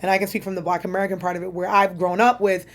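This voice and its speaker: A woman co-hosting a TV recap show, leading the talk in a lively way and passing questions to the others. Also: lowish voice, rapid-fire. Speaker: co-host. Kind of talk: casual TV recap chat.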